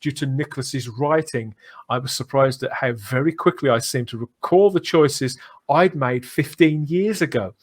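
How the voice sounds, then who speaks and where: A man's voice: mid-range voice, moderate pace. A British man hosting a casual fan podcast, chatty and often repeating phrases as he builds a point. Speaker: podcast host.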